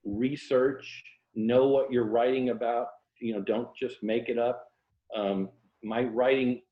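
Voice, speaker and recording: A mature American man talking casually and reflectively as a writer being interviewed. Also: medium pitch. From Tampa, Florida, United States. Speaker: interview guest, writer and former journalist. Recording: roomy echo, dull muffled sound.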